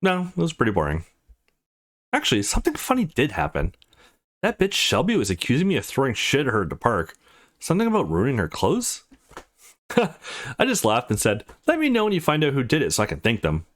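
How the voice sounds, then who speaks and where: A man's voice: mid-range voice, huge pitch swings, fairly quick, fairly bright tone, pitched above the performer's own voice. Man voicing a brash, teasing tomboy girl. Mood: amused. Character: brash, teasing, foul-mouthed tomboy young woman, loyal friend.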